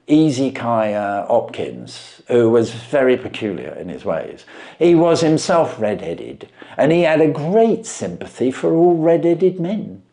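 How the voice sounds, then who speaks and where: A man voicing an eager, smooth-talking shop assistant in a storybook reading, explaining things in a coaxing way. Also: mid-range voice, darkish tone.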